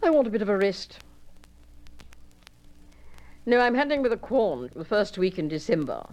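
A woman's voice: mid-range voice, big pitch swings, moderate pace, darkish tone, close to an everyday voice. Woman doing a bored, clipped horsey lady. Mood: languid. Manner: clipped, languid, offhand. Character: bored, horsey upper-class Englishwoman. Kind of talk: solo character monologue.

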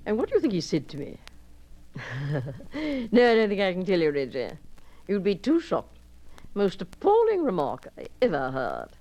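A woman's voice: on the low side, huge pitch swings, normal speed, pauses often, warm tone, dull audio. Woman doing a bored, clipped horsey lady. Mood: languid. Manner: clipped, languid, offhand. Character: bored, horsey upper-class Englishwoman. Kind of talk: solo character monologue.

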